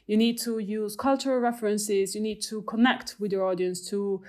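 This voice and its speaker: Italian-accented young woman, academic, thoughtful, halting delivery with restarts. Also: medium pitch, fairly even intonation. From Italy. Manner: thoughtful, many fillers and restarts. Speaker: researcher. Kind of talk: reflective conversational talk.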